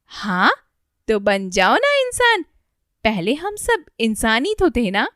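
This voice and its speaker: A woman's voice: a little high, big pitch swings, takes it slowly, fairly bright tone, no echo, studio quality, full character voice. A woman voicing a kindly elderly grandfather tree who chides and explains patiently in a children's cartoon. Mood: encouraging. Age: older. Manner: exclaiming, admonishing, patient explaining. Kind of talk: Hindi children's cartoon dialogue.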